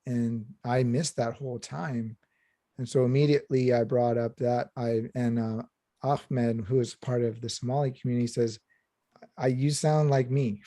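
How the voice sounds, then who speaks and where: A man's voice: medium pitch, warm tone, no echo. A man who works as a historian, speaking reflectively in a panel discussion. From Southern California.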